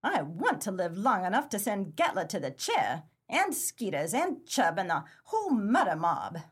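An actress playing a tough, bitter 1930s gangster moll in a pulp crime radio play, slangy and dramatic. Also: female voice, mid-range voice, very animated, moderate pace, breathy edge. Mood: bitter, vengeful. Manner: slangy, hard-boiled, dramatic.

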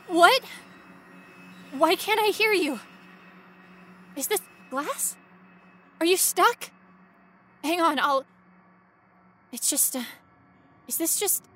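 A woman playing a frightened, stubborn young female ghost researcher in a first-person horror audio drama, with an intense, breathy delivery. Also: fairly high pitch, a bit bright, a little hiss or hum. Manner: intense, breathy, dropping to whispers and rising to cries.

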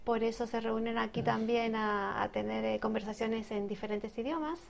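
A woman co-hosts an informal podcast in a fairly high voice. She talks off the cuff, searching for her words and often repeating a phrase before moving on. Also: fairly even intonation, moderate pace, vocal fry, slight background noise. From Argentina. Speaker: bookseller and podcast co-host. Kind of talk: casual unscripted podcast chat.

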